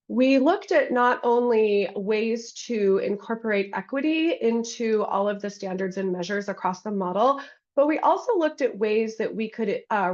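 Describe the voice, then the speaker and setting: A woman with a cold, hoarse, moderating a webinar and leading the questions, with plenty of ums. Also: medium pitch, dull audio. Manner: frequent ums, conversational and apologetic. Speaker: webinar moderator for a state health program. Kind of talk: hosting a webinar Q&A.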